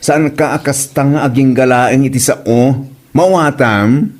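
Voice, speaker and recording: A man's voice: medium pitch, measured pace, darkish tone, natural voice. A man playing a blunt, teasing friend who mocks and scolds in a comedy audio drama, with an Ilocano accent. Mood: scolding, egging on. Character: teasing, blunt male friend egging others on.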